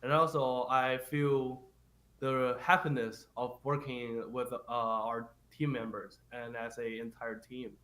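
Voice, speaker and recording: A man's voice: mid-range voice, level tone, slow pace, fairly bright tone, slight background noise. A young man from China, a game design graduate, introducing himself in plain, simple phrasing. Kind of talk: casual interview. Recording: echoey room.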